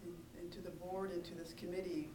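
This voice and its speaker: An American man making halting, informal remarks at a meeting. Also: high voice, little pitch movement. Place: indoor council meeting room. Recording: echoey, noisy room, distant mic.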